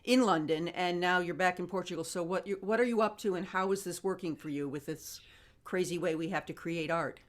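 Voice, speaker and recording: A woman's voice: lowish voice, brisk. A woman hosting a casual interview over a video call in a chatty, effusive way. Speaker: host.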